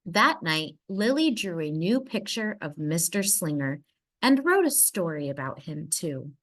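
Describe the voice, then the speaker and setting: A woman narrating a children's storybook aloud in an expressive manner with dramatic emphasis. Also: fairly low pitch, a little slow. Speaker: storybook reader.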